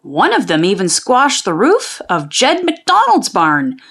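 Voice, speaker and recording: Lady reading a kids tall tale, super swoopy folksy delivery, slow with some creak. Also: medium pitch. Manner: expressive, folksy storytelling. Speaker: children's book read-aloud narrator. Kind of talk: animated storybook narration of a folksy tall tale.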